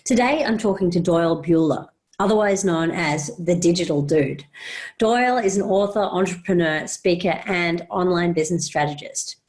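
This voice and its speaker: Lower-pitched woman hosting a podcast. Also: normal speed, darkish tone. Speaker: podcast host. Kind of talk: scripted introduction and interview questions.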